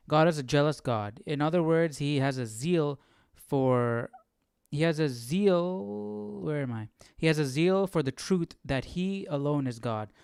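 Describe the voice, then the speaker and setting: A man hosting a Bible study live, casually thinking aloud as he reads and explains, often checking himself with a quick question. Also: mid-range voice, gravelly, a little mellow, clear, resonant tone, no room sound, clean studio sound.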